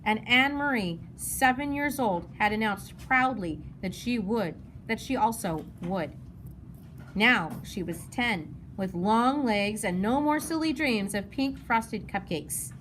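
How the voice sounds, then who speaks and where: A woman's voice: fairly high pitch, animated, takes it slowly, a bit bright, low-quality recording. A woman narrating a children's novel as a read-aloud. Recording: noticeable background noise.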